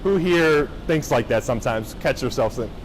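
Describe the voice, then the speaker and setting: A young man from Japan giving a casual motivational talk to high school students, often checking in with the crowd. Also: expressive, moderate pace, a little hiss or hum, poor audio. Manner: casual, engaging, checks in with the audience. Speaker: motivational speaker. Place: high school gathering space.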